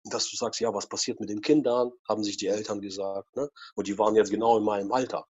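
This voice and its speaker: Interview guest, forties, husky voice, rambling storyteller, muffled recording. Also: male voice, fairly low pitch, fairly even intonation, fast, a little mellow. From former Soviet Union. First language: Russian. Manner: rambling with many fillers and tag questions. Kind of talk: casual personal storytelling.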